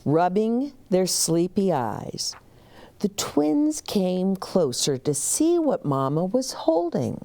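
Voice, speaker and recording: A woman reads to young children as a story time host. Her delivery is very slow and deliberate, with lively swings in pitch and a soft, mellow tone. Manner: expressive, deliberate, addressing young listeners directly.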